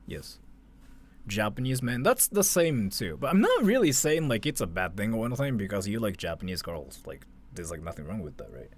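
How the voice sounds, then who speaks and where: A man's voice: fairly low pitch, very animated, brisk, slightly rough. A man giving casual, off-the-cuff reaction commentary as a livestream host, rambling conversationally and leaning on filler words like "like".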